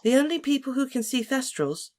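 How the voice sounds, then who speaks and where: A clever, earnest teenage schoolgirl, as a male narrator voices her, her voice lifted well above his own pitch. She speaks softly and sincerely, in a storybook reading. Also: sing-song, fairly quick.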